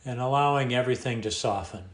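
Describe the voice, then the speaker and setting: A man leading a guided meditation in a calm, unhurried manner, leaving long pauses between phrases. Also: mid-range voice. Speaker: meditation teacher. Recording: echoey room sound.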